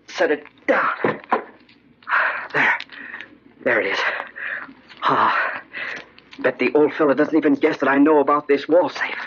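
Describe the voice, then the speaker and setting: A man's voice: medium pitch, a bit bright, lightly acted. A man playing an anxious, weak-willed young nephew, agitated and muttering to himself in 1940s radio drama style. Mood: furtive. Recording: muffled, crackly old radio recording.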